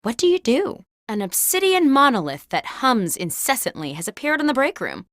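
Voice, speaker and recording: A woman playing a video game host who poses workplace questions like an office interviewer, in scripted, clearly enunciated prompts. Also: sits high, very animated, normal speed, fairly bright tone, no echo. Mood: composed, quizzical.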